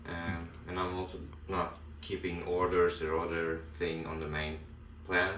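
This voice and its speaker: A man with a Norwegian accent explains a construction schedule in short, plain sentences. His voice is very deep. It comes through distant, echoey call audio with faint noise behind it. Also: monotone, unhurried, a bit bright. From Norway. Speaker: construction project manager. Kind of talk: explaining work on a video call.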